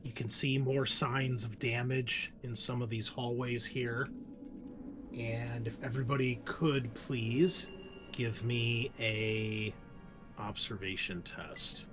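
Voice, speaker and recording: A man running a tabletop roleplaying game as game master, narrating conversationally with halting pauses. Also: medium pitch, fairly even intonation, over music.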